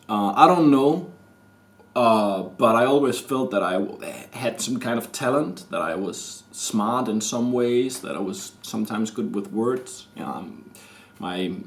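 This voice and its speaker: Slow, halting Danish-accented man. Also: on the low side. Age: 30s. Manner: hesitant, halting, many restarts and fillers. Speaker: vlogger. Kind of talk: rambling personal confession to camera. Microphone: camera mic.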